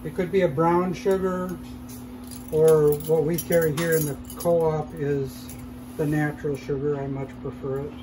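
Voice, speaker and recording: A man hosting a cooking show, calmly explaining each step as he cooks in a shop demo kitchen. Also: fairly high pitch, unhurried, background noise. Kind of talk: casual cooking demonstration.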